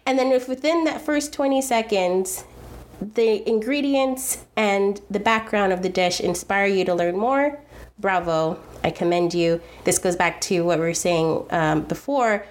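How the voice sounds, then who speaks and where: This is an American woman in her thirties talking as a guest in an interview, her voice sitting at a middle pitch. She speaks earnestly and reflectively, weighing her words. She often circles back and restarts her sentences. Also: measured pace. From United States. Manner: earnest and thoughtful, frequent restarts and fillers. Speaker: food video creator, guest.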